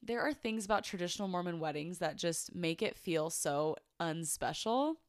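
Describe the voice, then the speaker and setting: Stuffy-nosed young woman, smooth low voice. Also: lively intonation, normal speed, no echo, studio quality. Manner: chatty, opinionated, laughs often. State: congested, stuffy nose. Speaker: podcast co-host. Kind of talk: casual chat.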